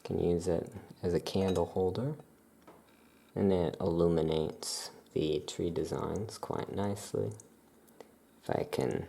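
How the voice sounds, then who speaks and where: Female, soft-spoken ASMR shopkeeper roleplay, extremely deep register, slow and murmured, with faint background noise. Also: gravelly.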